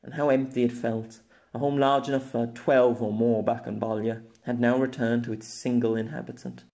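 Man narrating a story, steady. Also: medium pitch. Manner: measured, storytelling. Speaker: audiobook narrator. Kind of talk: scripted narration.

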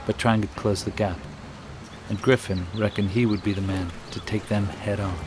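A man playing a grim soldier narrating his war in measured first person for a video game. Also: lowish voice, steady tone, natural voice. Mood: grim, brooding. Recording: loud noisy ambience behind the voice.

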